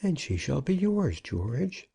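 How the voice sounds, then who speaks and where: A man's voice: fairly high pitch, very animated, takes it slowly, vocal fry, mellow, husky, pitched above the performer's own voice, heavily acted. A man voicing an old, evasive shopkeeper in a piteous, pleading manner for an audiobook. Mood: pleading, defensive. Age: older. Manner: piteous, wheedling. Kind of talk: audiobook character acting.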